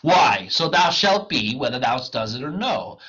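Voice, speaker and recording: A man's voice: mid-range voice, expressive, normal speed, fairly bright tone, breathy edge, highs cut off, pitched above the performer's own voice. A man voicing a haughty, threatening master conjurer in an Elizabethan comedy, commanding and declamatory. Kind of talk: Elizabethan stage comedy reading.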